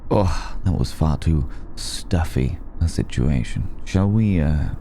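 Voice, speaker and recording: A man playing an ancient, courteous vampire in an intimate ASMR roleplay, patient and seductive, with halting, deliberate, formal phrasing. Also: a bass voice, lively intonation, dark tone, a little hiss or hum, heavily acted. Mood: suave, inviting.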